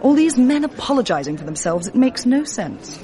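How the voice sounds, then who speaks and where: An actress playing a clever, self-assured young female Oxford student at a party, brisk and teasing in a radio drama. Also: a little high, very animated, dark tone. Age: young adult. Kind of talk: 1980s radio drama acting.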